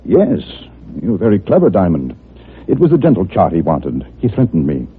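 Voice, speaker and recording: An actor playing a polite, anxious middle-aged dentist in an old radio drama, husky and a little hesitant. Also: male voice, low voice, huge pitch swings, normal speed, exaggerated voice. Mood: grave, worried. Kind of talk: 1940s-50s radio drama acting. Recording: muffled, tinny old radio recording.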